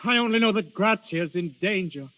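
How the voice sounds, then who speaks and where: A defiant, lovesick young nobleman. His voice is high and sharp, pushed out with urgent theatrical passion. It comes through the dull sound of an old radio play. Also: normal speed. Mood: defiant, angry. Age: young adult. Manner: urgent, impassioned theatrical delivery. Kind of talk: old radio drama acting.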